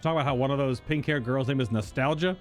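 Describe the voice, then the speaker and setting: Male streamer, medium pitch, voicing through on-screen game text aloud, soft music bed. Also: quick pace. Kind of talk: live game playthrough, reading dialogue aloud with casual asides.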